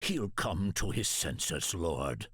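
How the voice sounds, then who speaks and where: An actor playing a loyal, stern, stubborn warrior in a video game, husky and emphatic in a measured delivery. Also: male voice, sits low, sing-song, fairly bright tone, dry recording, clean studio sound, heavily acted. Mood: earnest. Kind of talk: video game cutscene acting.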